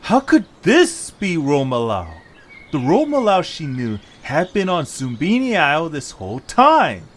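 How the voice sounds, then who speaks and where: A man's voice: a little high, wildly swooping pitch, a little slow, a bit bright. A man narrating a scripted story in a dramatic style. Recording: faint background noise with birdsong.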